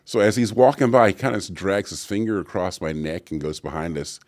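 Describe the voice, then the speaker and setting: A middle-aged man with a booming, very low voice tells stories as an interview guest. His pitch rises and falls a lot as he acts out what others said. The sound is dry and close. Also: a little fast, no echo. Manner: deep resonant voice, animated storytelling with acted-out quotes. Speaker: actor and voice actor guest. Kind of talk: storytelling interview answers.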